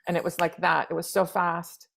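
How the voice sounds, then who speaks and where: A woman's voice: fairly low pitch, completely flat delivery, moderate pace, vocal fry, a bit bright. A woman in her fifties, a playwright raised in the United States, talking through her ideas in an interview with many restarts and repeated words. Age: 50s. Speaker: playwright, guest. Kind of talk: reflective interview conversation.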